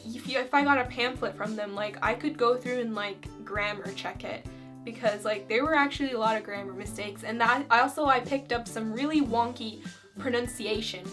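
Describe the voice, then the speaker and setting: A woman's voice: on the high side, normal speed, music in the background. A young woman from Finland chatting casually to camera as a vlogger, filling her talk with "you know" and "like". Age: young adult.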